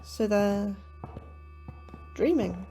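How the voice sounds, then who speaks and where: An actress playing an anxious, apologetic astrobiologist full of questions in a sci-fi horror audio drama. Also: female voice, mid-range voice, animated, very slow, mellow, soft background music. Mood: inquisitive, uneasy.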